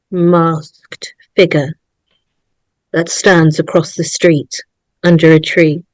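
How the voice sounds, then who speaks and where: A woman's voice: fairly low pitch, darkish tone, dry recording, low-quality recording. A woman narrator reading screenplay stage directions aloud.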